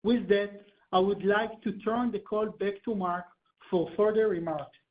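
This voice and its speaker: A company finance executive delivers scripted quarterly results at a medium pitch. The sound is a dull, lo-fi conference call connection. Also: a little slow.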